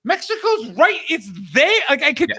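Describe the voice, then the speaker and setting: A man from Canada telling stories in a casual chat, animated and acting out what people said. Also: very high voice, moderate pace, no echo, studio quality. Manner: animated storytelling, swearing, acts out quotes.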